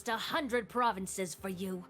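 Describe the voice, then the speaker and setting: An actress playing an imperious, covetous female soldier of an empire in a video game, commanding and deliberate. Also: mid-range voice, a bit bright. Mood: proud, coveting. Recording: background music under game dialogue.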